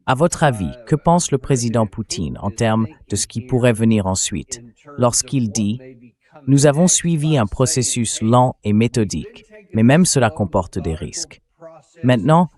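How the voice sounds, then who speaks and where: A man reading the dubbed translation of a political interview host in a measured voice-over manner. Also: lowish voice, a little mellow.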